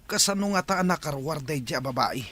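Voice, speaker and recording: A man's voice: fairly high pitch, animated, brisk, sharp tone, no echo. A man playing a regretful, impatient crook in a radio drama, with an Ilocano accent. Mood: agitated. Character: bitter, regretful jailed crook, impatient accomplice.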